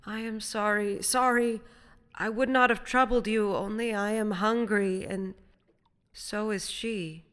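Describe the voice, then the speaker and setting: A woman voicing a hopeless, fearful castaway man with a husky, faltering, pleading voice in a story. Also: medium pitch, steady tone, fairly bright tone, in a large hall, pitched above the performer's own voice, close to an everyday voice. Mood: hopeless, apologetic. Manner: pleading, archaic and halting speech.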